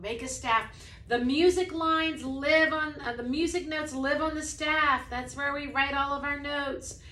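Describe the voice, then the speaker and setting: A woman's voice: fairly even intonation, unhurried, bright, forceful tone, roomy sound. A woman who teaches music to preschoolers, talking through a lesson casually and breaking into short sung examples. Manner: demonstrates by singing snippets, then speaks casually; says she has a low voice.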